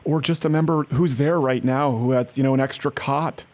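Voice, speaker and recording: A man's voice: mid-range voice, fairly even intonation, moderate pace, darkish tone. A man making a prank phone call as an earnest-sounding aid worker, pushing persistently. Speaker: prank caller.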